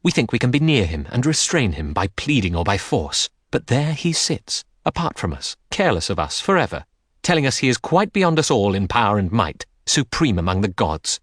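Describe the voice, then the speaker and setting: A man's voice: on the low side, sing-song, moderate pace, no echo, studio quality, pitched above the performer's own voice. Man voicing a haughty, bitter goddess queen. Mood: bad-tempered scorn. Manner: imperious, scornful. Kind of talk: dramatic audiobook character reading.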